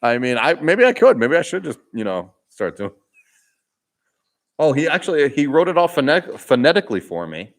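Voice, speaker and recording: A man's voice: a little high, very animated, normal speed. A young man with a Boston accent chatting casually on a live stream, reading comments aloud, laughing often and restarting his sentences. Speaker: vlogger hosting a live Q&A.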